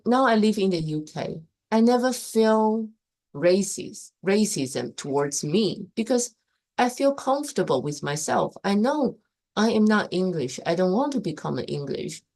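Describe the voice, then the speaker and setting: A young woman from Taiwan hosts a casual live stream and shares her opinions in loose, repetitive English. Her voice sits at a middle pitch and keeps swinging up and down with emphasis. She talks plainly and breaks off to restart her sentences.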